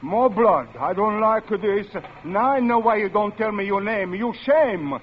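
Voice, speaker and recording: An actor playing a simple, chatty railway platform sweeper with a foreign accent and broken English, in an old radio drama. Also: male voice, high voice, measured pace. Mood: suspicious. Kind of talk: 1940s radio drama character acting. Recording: muffled, noisy vintage radio recording.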